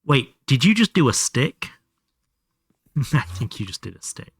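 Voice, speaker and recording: A man's voice: mid-range voice, big pitch swings, a little slow, breathy edge, no echo. A man doing casual live game commentary and chatting to viewers, sweary and self-deprecating. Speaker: live streamer playing a video game.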